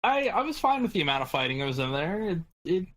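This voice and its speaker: A man's voice: high voice, very animated, quick pace, a bit bright. A sweary man chatting casually as a panelist in a podcast group discussion.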